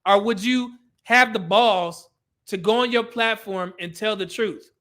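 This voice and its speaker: Fields: Sex: man. Role: host. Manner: bright, ranting. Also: high-pitched, a little slow. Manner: blunt, profane, preachy. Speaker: commentary host. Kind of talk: ranting commentary over video clips.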